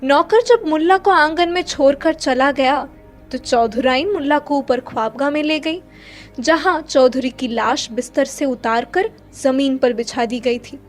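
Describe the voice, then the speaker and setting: A woman with a high voice narrates literary fiction as a dramatic storyteller. Faint music plays behind her reading. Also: unhurried.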